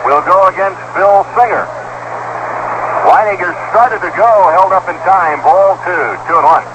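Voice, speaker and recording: A man's voice: high-pitched, measured pace. An American man calling baseball play-by-play live on the radio. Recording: dull noisy 1970s AM radio broadcast.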